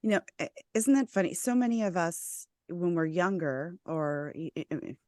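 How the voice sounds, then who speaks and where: A woman hosting a podcast, chatty and conversational, with quick asides and restarts. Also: lowish voice, expressive, warm tone, no echo, studio quality. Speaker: podcast host. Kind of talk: conversational interview.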